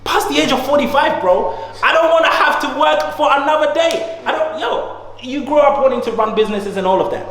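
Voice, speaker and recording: A man in his thirties with a high voice talks in a casual, emphatic way, full of slang and motivational push. He sounds like he is in an echoey room, and his voice trails off in a long reverb. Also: lively intonation, brisk, a bit bright. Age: 30s. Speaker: guest, entrepreneur. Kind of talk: casual conversation.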